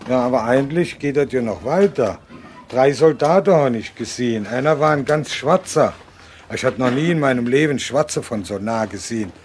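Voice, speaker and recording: A man's voice: mid-range voice, animated, normal speed, lightly acted. Man acting ageing ex-soldier, over fifty, folksy dialect storytelling, radio play, slight background noise. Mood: earnest, reminiscing. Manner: plain, folksy regional dialect. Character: ageing former deserter recounting his war memories. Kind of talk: first-person recollection in radio drama.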